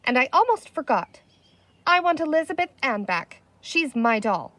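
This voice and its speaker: A woman's voice: high-pitched, very animated, normal speed, a bit bright, no room sound, pitched above the performer's own voice. A woman reading a children's storybook in the part of a bossy, exasperated young girl with a firm, scolding manner. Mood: commanding.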